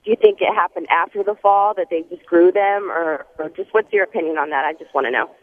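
A woman calling in to a radio show, her voice raspy and hoarse, asking a question hesitantly with many pauses. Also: lowish voice. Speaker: caller. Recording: tinny phone line.

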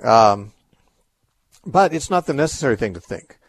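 A man's voice: mid-range voice, animated, moderate pace, no echo. A man hosting a radio call-in show, answering Bible questions in a thoughtful, explanatory way with frequent restarts.

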